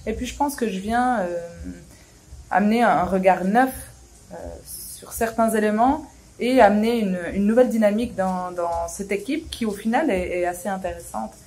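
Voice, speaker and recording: Thirties female social worker, calm measured delivery with frequent pauses. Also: mid-range voice, breathy edge. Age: 30s. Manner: measured, with many hesitations. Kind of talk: casual testimonial. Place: indoors, small room.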